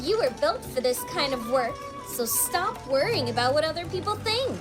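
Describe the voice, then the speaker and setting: A woman's voice: high-pitched, sing-song, moderate pace, over loud music. A woman voicing an anxious, apologetic young female puppet robot in a cartoon comic dub.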